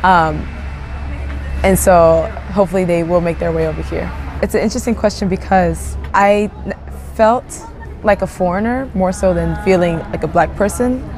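Soft-spoken young woman, faint music. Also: lowish voice, normal speed. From Japan. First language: Japanese. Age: young adult. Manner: thoughtful, frequent "you know" fillers and restarts. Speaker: interviewee. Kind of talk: casual personal storytelling. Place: outdoors among large tropical plants.

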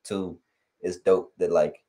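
Low dark-voiced young man from LA. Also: slow pace. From Los Angeles. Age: young adult. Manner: relaxed, rambling with many fillers. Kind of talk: casual chat. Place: home room on video call. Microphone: headset on video call.

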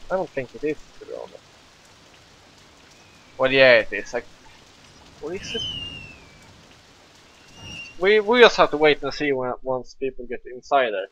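Excitable Swedish-accented young man. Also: medium pitch, a little slow, long pauses, muffled recording. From Sweden. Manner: chatty, excitable, swears freely. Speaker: let's play gamer. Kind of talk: casual gameplay commentary.

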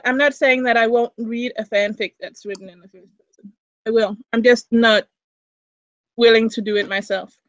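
A woman's voice: fairly high pitch, no room sound. A young woman from Denmark with a Danish accent, speaking English as her second language, rambling with many restarts in a casual interview over a video call. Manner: rambling, frequent restarts and fillers. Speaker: interviewee, fan fiction writer. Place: small indoor room. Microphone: webcam mic on a video call.

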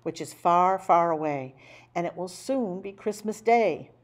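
A woman voicing a polite, earnest old man in a children's storybook, courteous and clear about his errand. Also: lowish voice, expressive, a little slow. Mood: polite, pleading. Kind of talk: storybook character voice.

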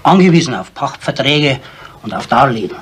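Actor as plain-spoken village informant, folksy explaining, medium pitch, old radio play with slight hiss. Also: male voice, animated, normal speed, lightly acted. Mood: matter-of-fact. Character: matter-of-fact local man informing the investigators. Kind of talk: 1970s German radio crime drama acting.

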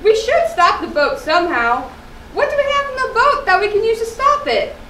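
An enthusiastic young puppet sailor, played by a woman, talks with urgency in a children's show. The pitch is extremely high and lively. The room is echoey with faint noise. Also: brisk, exaggerated voice. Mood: urgent. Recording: roomy echo, faint background noise.

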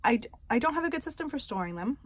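A woman vlogger chatting casually about her stitching projects, soft and unhurried, with many ums and pauses and light self-deprecating humor. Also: high voice, very animated, slightly rough. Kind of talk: casual show-and-tell chat.

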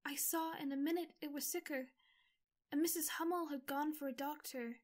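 A shy, gentle teenage girl, as an actress plays her in an audio drama. Her voice is high and soft, and she speaks with a timid hush. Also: fairly even intonation, normal speed, natural voice. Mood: shaken. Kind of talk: amateur audio drama acting.

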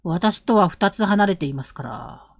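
A woman playing a polite, reserved, determined young traveller in a period audio drama, speaking formally and with measured care. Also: medium pitch, lively intonation, vocal fry, husky, no echo, studio quality, full character voice. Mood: calm, guarded.

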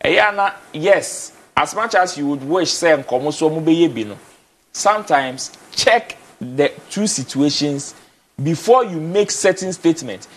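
A young man with a Ghanaian accent and a high, light voice talks animatedly from a TV studio chair. He slides between Twi and English and doubles up words as he presses his points, with a lapel mic catching him up close. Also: lively intonation, unhurried, slight background noise. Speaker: studio guest. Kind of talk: casual TV studio discussion. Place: TV studio, indoors.

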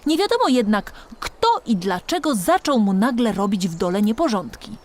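A woman's voice: medium pitch, very animated, normal speed. A woman reading a children's storybook as an audiobook narrator, expressively and with dramatic emphasis.

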